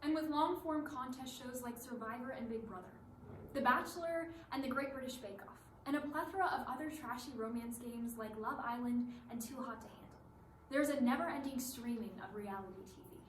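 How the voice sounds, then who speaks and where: A woman's voice: on the high side, fairly quick, natural voice. A woman performing in a readers theatre piece with rehearsed, theatrical delivery. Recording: roomy stage recording with background hiss.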